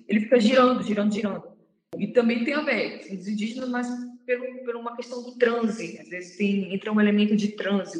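Woman, researcher guest, hesitant explaining, roomy echo, faint background hiss. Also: medium pitch. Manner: hesitant, many fillers and restarts. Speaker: researcher of Bumba Meu Boi, interview guest. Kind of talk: explanatory interview talk.

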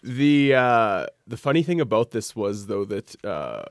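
A young man with a Canadian accent chatting casually as a podcast guest, rambling and halting in a jokey way. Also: lowish voice, big pitch swings, dry recording, clean studio sound. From Alberta, Canada. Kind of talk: casual chat.

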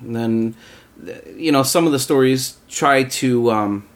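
A man's voice: mid-range voice, measured pace. Man chatting in a rambling way. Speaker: voice actor on a round-table. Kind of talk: casual group discussion.

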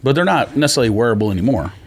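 A man co-hosting a podcast in casual banter, blunt and quick to argue back, using slang. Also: mid-range voice, expressive, slight background noise. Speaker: podcast co-host.

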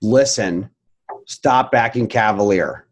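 A man's voice: on the low side, slow. A middle-aged man as a podcast guest, talking candidly and reflectively, with some blunt language. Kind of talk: casual interview chat.

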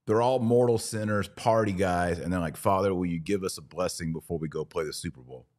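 A man's voice: sits low, a little fast, darkish tone. A man hosting a podcast, talking conversationally and arguing a point emphatically through hypothetical examples. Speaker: podcast host.

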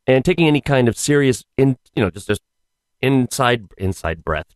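A man hosting a casual solo podcast, hoarse, rambling with wry asides. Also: mid-range voice, expressive, moderate pace, no echo. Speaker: podcast host.

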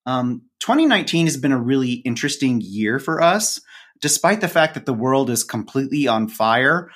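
Male podcast guest, medium pitch, casual conversation, wry, dry humor. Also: big pitch swings, moderate pace, fairly bright tone. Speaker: podcast co-host and author, being interviewed.

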